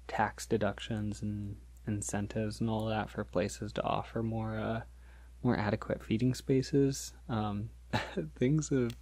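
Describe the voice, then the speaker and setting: Man playing a gravelly-voiced, shy, polite vampire. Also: lowish voice. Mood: chatty, relaxed. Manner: hesitant, halting, gentle, reassuring, with small nervous chuckles. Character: polite, shy, considerate male vampire on a first feeding date. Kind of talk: ASMR roleplay, conversational.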